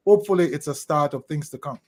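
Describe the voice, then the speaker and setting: Interview guest: Jamaican man, middle-aged, slightly higher pitch, deliberate and thoughtful delivery. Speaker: interview guest, leadership consultant.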